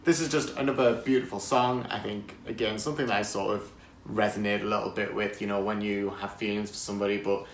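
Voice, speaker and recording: A man with a croaky voice chatting enthusiastically to camera outdoors on a windy day, laughing often. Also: fairly low pitch, steady tone, fast talker, reverberant, rough recording. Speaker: vlogger. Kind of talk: casual chat to camera.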